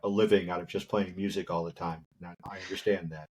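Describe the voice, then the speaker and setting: A man's voice: sits low, fairly even intonation, fast, darkish tone, slightly rough. A man hosting a casual interview, chatty and chuckling often. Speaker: podcast host.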